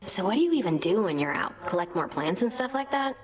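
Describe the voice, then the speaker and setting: A woman voicing a blasé, nosy young ghost in a video game, deadpan and sarcastic. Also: medium pitch, brisk, close to an everyday voice. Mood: blasé, teasing.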